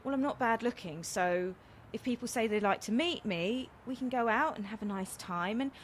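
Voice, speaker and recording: A woman's voice: on the high side, normal speed, a little hiss or hum, close to an everyday voice. A woman playing a frank, kind-hearted young woman in a radio comedy, chatty and earnest as she explains herself. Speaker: actor in a radio play. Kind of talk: naturalistic radio comedy acting.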